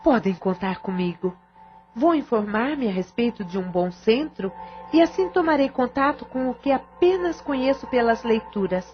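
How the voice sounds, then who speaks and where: Actress as an earnest, higher-voiced female counsellor. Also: expressive, moderate pace, natural voice. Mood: earnest, advising. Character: earnest, caring sister-in-law giving spiritual counsel. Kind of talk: audio drama acting. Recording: muffled lo-fi audio with music.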